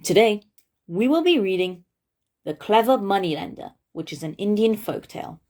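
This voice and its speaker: A woman's voice: mid-range voice, animated, normal speed, darkish tone. A woman narrating a story for children as the host of a read-aloud show.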